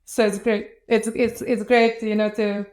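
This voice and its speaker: A woman's voice: fairly high pitch, level tone, unhurried, darkish tone. A woman in her thirties from Ukraine with a Ukrainian accent, an enthusiastic interview guest who talks casually with fillers and restarts. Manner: enthusiastic, many fillers and restarts, laughs often.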